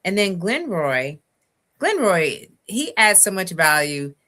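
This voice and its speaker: Low, bright-voiced woman host. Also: very animated, takes it slowly. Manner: affirming, reads viewer comments aloud. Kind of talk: live-stream talk show hosting.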